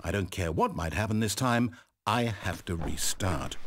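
A man's voice: sits low, animated, normal speed, noisy background. A man with a British accent playing a fussy, whimsical, easily exasperated storyteller in a video game, conversational and theatrical. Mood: disapproving, uneasy. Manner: conversational, self-interrupting, dramatic. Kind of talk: theatrical scripted narration.

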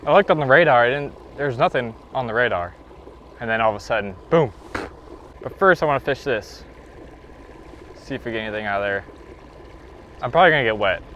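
A young man talks his way through a day on the water from his kayak, chatting to the viewers, to himself and to the fish he lands. His voice sits at an ordinary middle pitch and comes through an action camera mounted on the boat, with open-air lake sound and faint splashing of water behind him. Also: animated, fairly quick, pauses often, a bit bright, lo-fi sound, slight background noise. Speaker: fishing vlogger. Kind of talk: casual vlog narration.

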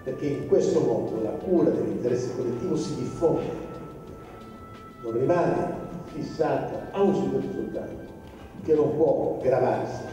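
An older man in his sixties speaks formally into a handheld mic, his phrases measured and softly fading. He sounds distant in a long-reverb hall. Music plays clearly behind him. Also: medium pitch, animated. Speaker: foundation president. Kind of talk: formal public talk. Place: large exhibition hall.

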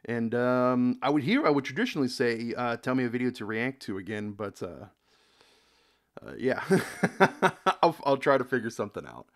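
A man's voice: medium pitch, animated, normal speed. A young man from the United States hosting a casual reaction video, rambling, repeating words and reading comments aloud from a dim room.

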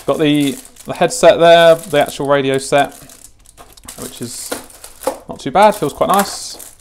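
A man's voice: a little high, a little slow, slight background noise. A British man in his twenties with a chatty, informal, matter-of-fact way of talking, hosting a casual product unboxing and tutorial. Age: 20s. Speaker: fishing channel host demonstrating gear. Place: home kitchen, indoors.

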